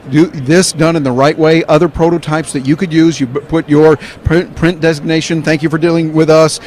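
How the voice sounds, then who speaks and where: A man's voice: on the high side, little pitch movement, fairly quick, a little mellow, a little hiss or hum. A male business reporter interviewing a guest at a trade show in an upbeat, motivational way.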